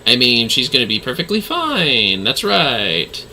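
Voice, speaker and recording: A man's voice: mid-range voice, wildly swooping pitch, measured pace, sharp tone, a little hiss or hum. A man giving casual livestream commentary on a game, with playful, theatrical asides.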